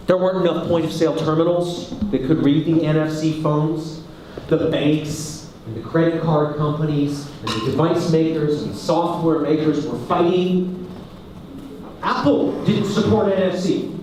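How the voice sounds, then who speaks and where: A Canadian man giving a lively, joking conference talk and questioning the audience. He is recorded in a large hall, away from the mic. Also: on the high side, slight background noise. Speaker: conference speaker. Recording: echoey hall, speaker far from mic.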